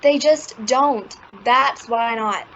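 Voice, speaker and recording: A woman's voice: fairly high pitch, slow, fairly bright tone. A woman playing a superior, scornful teenage sister in an old-time radio family comedy. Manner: drawling, scornful. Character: bossy, superior teenage girl, the elder sister. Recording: muffled, very noisy recording.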